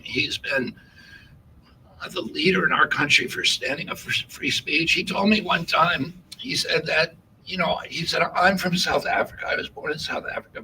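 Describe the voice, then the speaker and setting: A man answering an interview question in halting speech, often breaking off and restarting his sentences. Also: on the high side, sharp tone. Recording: distant mic with background noise.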